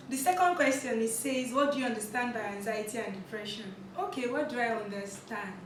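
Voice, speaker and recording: A fairly high-voiced woman chats to her viewers while snacking, giggling and crunching between thoughts. Her voice comes from a step back from the mic and rings in a very echoey room, with a faint hiss underneath. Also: a bit bright, recorded from a distance. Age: 20s. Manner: chatty, giggly, talks between crunchy bites. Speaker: vlog host. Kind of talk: casual talk while eating on camera.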